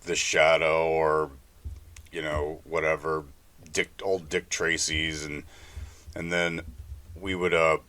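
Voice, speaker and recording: A man with a low-pitched voice and a bright, forceful edge co-hosts a podcast. He chats informally, telling anecdotes in loose, unscripted phrases. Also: flat delivery, slow, pauses often. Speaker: podcast co-host. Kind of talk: casual anecdotal podcast chat.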